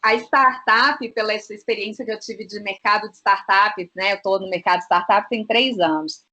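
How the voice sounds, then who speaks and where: A woman guest, a business consultant, talking freely in an interview, often filling pauses with short tags. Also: mid-range voice, pushed and bright. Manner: talkative, fills with "né" and "é". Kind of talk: casual interview chat.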